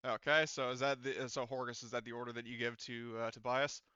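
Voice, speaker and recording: A man's voice: mid-range voice, little pitch movement, fast, sharp tone, clear, resonant tone, dry recording, highs cut off. A man speaking as game master, running a tabletop role-playing game and explaining rules and outcomes to players.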